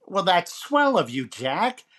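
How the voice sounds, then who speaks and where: Man playing a lively old-time radio comedy host. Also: high-pitched, slow pace, full character voice. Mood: bantering. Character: wisecracking male comedian hosting a radio variety show. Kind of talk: 1940s radio comedy recreation.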